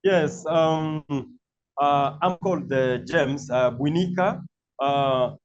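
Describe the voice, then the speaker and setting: A man's voice: a little high, takes it slowly, lo-fi sound. Hesitant Congolese man on a call. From Democratic Republic of the Congo. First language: French. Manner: hesitant, many fillers. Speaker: guest. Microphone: video call.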